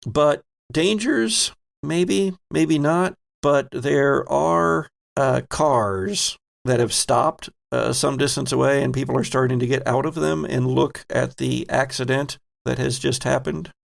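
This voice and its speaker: A man with a creaky, medium-pitched voice acts as game master. He narrates scenes off the cuff and teases his players as he goes, keeping it casual. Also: slow, hardly any pauses.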